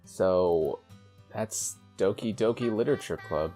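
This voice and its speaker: A man with a low voice hosts a gaming video. He talks in a casual, rambling way as he comments and reads from the screen. Soft music sits behind him. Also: measured pace. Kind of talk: casual playthrough commentary and reading aloud.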